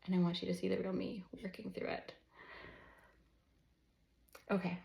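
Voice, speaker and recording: A woman in her early forties talking candidly and a little nervously in an unscripted personal vlog, halting and self-conscious. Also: fairly low pitch, monotone, gravelly, a little mellow. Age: early 40s. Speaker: counselor and children's book author. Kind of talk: unscripted personal vlog, reading own manuscript. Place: indoors at a desk, live unedited home recording. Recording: roomy echo of an untreated room.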